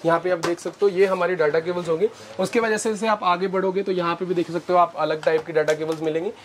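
A young man showing products in a warehouse, explaining things slowly with many fillers on a lapel mic. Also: high-pitched, fairly even intonation, slight background noise. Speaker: warehouse business owner. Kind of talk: product walkthrough. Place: large indoor warehouse with shelves.

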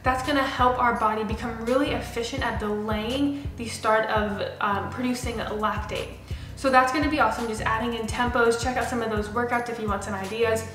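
A young woman speaks in a fairly high voice, explaining training casually and directly like a fitness coach. She is recorded in a bare, echoey room, with music underneath. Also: steady tone, a little fast, a bit bright. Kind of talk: explanatory talking-head fitness advice to camera. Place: small bare room indoors with a window. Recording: roomy indoor echo, music underneath. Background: background music.

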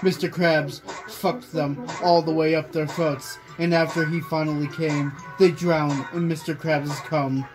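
A man's voice: on the high side, flat delivery, a little slow, hardly any pauses, music in the background, rough recording. A young man reading a story aloud in an amateur, dramatic storytelling way, recorded on a phone in a bedroom. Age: young adult. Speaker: friend reading fan fiction aloud. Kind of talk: amateur read-aloud with casual chat. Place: small bedroom, indoors. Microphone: phone camera nearby.

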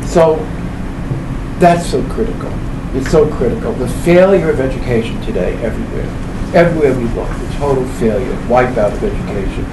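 A man's voice: medium pitch, expressive, a little fast. A man in his seventies giving an informal public book talk as a professor, emphatic and fond of repeating phrases. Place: small indoor event room. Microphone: camera a few metres away. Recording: echoey room, distant mic, background hum.

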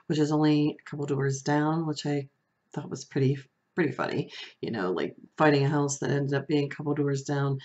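A middle-aged woman with a soft, comforting voice, vlogging to camera from a room at home, reflective and hesitant, with fillers and restarts. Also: low voice, highs cut off. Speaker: beauty vlogger. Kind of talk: casual talk to camera. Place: small room at home, indoors.

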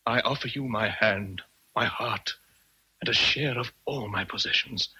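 Casting: brooding British master of the house, bright forceful voice, slightly rough, theatrical period radio drama. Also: male voice, medium pitch, full character voice, poor audio. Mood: ardent. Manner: teasing, theatrical, deliberate. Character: brooding, teasing gentleman master in love with his governess.

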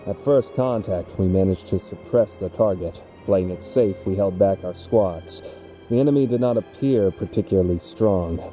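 A man's voice: low voice, normal speed, warm tone, soft background music, close to an everyday voice. A man voicing a grim, dutiful rebel soldier in a video game fan dub, giving terse military reports. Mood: grave report.

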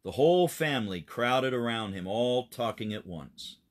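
A man narrating a children's story in an expressive, dramatic storytelling manner. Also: medium pitch, normal speed. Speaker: pastor reading a children's story.

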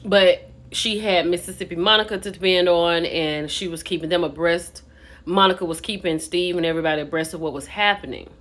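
A woman's voice: fairly low pitch, fairly quick, fairly bright tone. A woman in her thirties with an American accent, giving a casual, conversational and opinionated online recap with emphatic key words. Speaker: online gossip commentator. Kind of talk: casual vlog recap and commentary.

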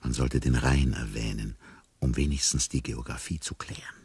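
An actor playing a bewildered amnesiac man in a radio play, musing inwardly in a hushed, wryly detached way. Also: rumbling low, fairly even intonation, moderate pace, breathy edge, full character voice. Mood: wry unease. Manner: hushed, musing, often near whispering. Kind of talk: radio play inner monologue.

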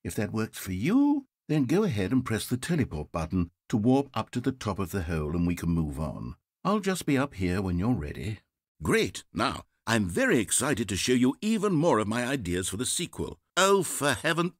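Snarky game narrator, husky, swoops way up and down, dead dry studio sound. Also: male voice, fairly low pitch, wildly swooping pitch, moderate pace, no room sound, heavily acted. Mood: sardonic. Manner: dry, sarcastic, passive-aggressive. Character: sardonic, fussy male game narrator. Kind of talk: scripted game voice-over.

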